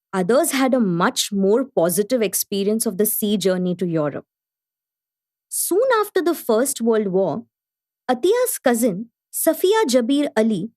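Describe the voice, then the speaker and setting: A woman playing a gracious Indian magazine editor of the early twentieth century, hosting a radio play in formal, measured narration. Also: on the high side, darkish tone. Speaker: host.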